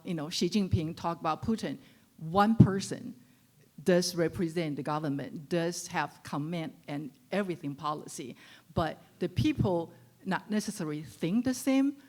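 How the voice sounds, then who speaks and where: A woman from Taiwan, asking a panel an earnest, halting question in an auditorium. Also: on the low side, darkish tone. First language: Chinese. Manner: earnest, halting with many fillers. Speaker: audience questioner.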